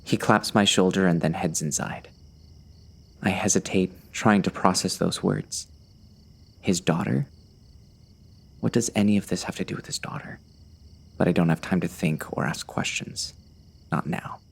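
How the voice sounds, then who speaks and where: Male actor as uneasy gunslinger, deep gravelly fry, brooding first-person storytelling, western audiobook drama. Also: a little slow, pauses often, full character voice. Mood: tense, wary. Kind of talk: first-person audiobook narration, present tense.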